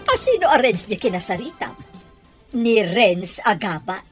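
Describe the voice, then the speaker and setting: A woman with an Ilocano accent playing a bossy, nosy middle-aged mother in a radio drama, with a husky voice. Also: mid-range voice, big pitch swings, normal speed, over music, lightly acted. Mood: suspicious.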